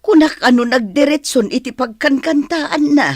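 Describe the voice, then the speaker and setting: A dry, highish voice with an Ilocano accent. A woman plays an anxious older village mother in a radio drama, fretting over her child. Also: normal speed, darkish tone, no room sound, natural voice. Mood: questioning.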